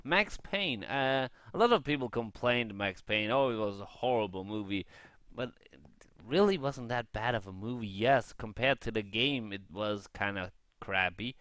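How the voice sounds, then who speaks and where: Guy doing a chatty gaming vlog, lowish smooth voice, kinda halting, thinks out loud, close dry mic. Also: lively intonation, dry recording. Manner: unscripted, halting, thinking aloud. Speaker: gaming vlogger. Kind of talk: casual rambling review to viewers.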